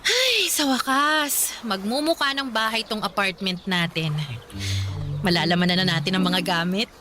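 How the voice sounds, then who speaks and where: A woman's voice: mid-range voice, moderate pace, natural voice. A woman playing a frank young single woman in a Tagalog radio drama, speaking in a candid, conversational, emotive way. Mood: cheerful.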